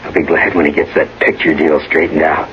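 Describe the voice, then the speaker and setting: An actor playing an affectionate but evasive husband in a radio drama, speaking soothingly in hushed endearments. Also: male voice, rumbling low, level tone, a little fast. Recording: muffled radio broadcast with clear hiss.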